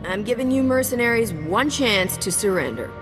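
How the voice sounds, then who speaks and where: A woman's voice: fairly high pitch, animated, moderate pace, lightly acted. Woman playing a cocky smuggler captain, reverberant. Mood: defiant. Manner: sardonic, confident. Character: cocky, wisecracking female smuggler starship captain. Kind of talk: video game cutscene acting. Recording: long reverb, faint music.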